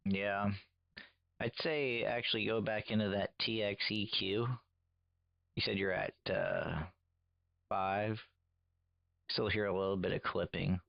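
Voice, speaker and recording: Deep gravelly man, dry and muffled. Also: steady tone, unhurried, long pauses, dry recording. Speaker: CB radio operator coaching another on audio settings. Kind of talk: casual technical instruction.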